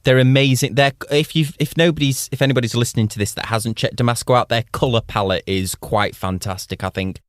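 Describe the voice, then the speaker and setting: A man co-hosting a casual podcast, enthusiastic and talking in quick bursts. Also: mid-range voice, steady tone, no echo, clean studio sound. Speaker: podcast co-host. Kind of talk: casual chat about watches.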